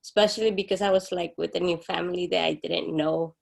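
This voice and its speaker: Young woman from honduras, low-ish gravelly voice, open and earnest, guest on a chat. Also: steady tone, quick pace. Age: young adult. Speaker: interview guest. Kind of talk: casual interview chat.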